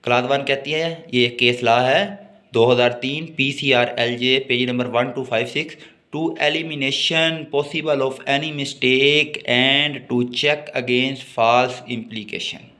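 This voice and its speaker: A male lecturer with a Pakistani accent speaks at a slow pace in a mid-range voice. He reads from his notes in a measured, didactic way, then explains each point as a teacher would to students. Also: medium pitch, fairly even intonation, sharp tone. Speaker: law lecturer.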